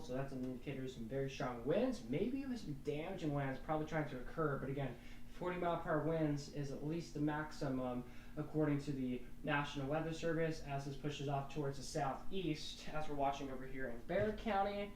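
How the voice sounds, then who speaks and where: A man's voice: a little high, a little mellow, reverberant, distant mic, slight background noise, poor audio. A young man, a weather forecaster giving casual, rambling live storm commentary on a stream.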